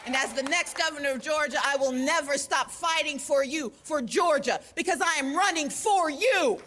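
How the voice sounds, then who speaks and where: Woman shouting a rally speech over crowd. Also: high-pitched, moderate pace. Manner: forceful, rousing, shouted delivery. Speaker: political candidate giving a campaign speech. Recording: archive clip of a rally with loud crowd noise. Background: loud cheering crowd.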